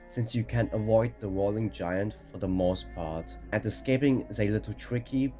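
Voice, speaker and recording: A man with a fairly deep voice delivers scripted explainer narration. He lays things out in an orderly, matter-of-fact way. Soft background music runs beneath his words. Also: moderate pace. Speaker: narrator of a ranking video.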